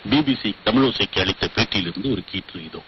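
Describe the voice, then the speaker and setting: Low-voiced man presenting on muffled shortwave radio. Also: quick pace, very noisy. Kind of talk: scripted documentary narration.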